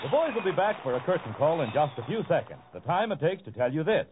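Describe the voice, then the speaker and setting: A man's voice: a little high, big pitch swings, quick pace. A man reading scripted announcements in 1940s radio style. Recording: tinny old radio broadcast with music.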